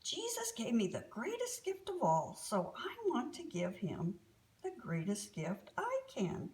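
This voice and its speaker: A woman's voice: a little high, huge pitch swings, measured pace, a little mellow. A woman voicing an earnest, polite young boy who is generous and eager in a children's storybook. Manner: earnest, polite, wide-eyed.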